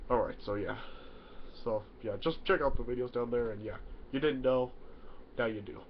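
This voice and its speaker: A man's voice: medium pitch, normal speed. Young guy with a head cold, rambling bedroom vlog, lo-fi webcam mic. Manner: rambling, many "yeah" fillers and restarts. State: stuffy nose from a cold. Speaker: YouTuber. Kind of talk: casual to-camera explainer. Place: small bedroom indoors. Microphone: webcam in front of him. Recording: dull lo-fi webcam audio, faint hiss.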